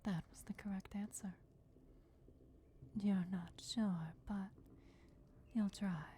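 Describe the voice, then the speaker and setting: A woman voicing an ancient, regal and patient vampire deity in a soft, breathy whisper, formal and deliberate, for a fantasy ASMR roleplay. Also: mid-range voice, fairly even intonation, close to an everyday voice. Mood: solemn, patient. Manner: slow, deliberate, formal, measured. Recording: clear background noise, crypt ambience. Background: crypt ambience.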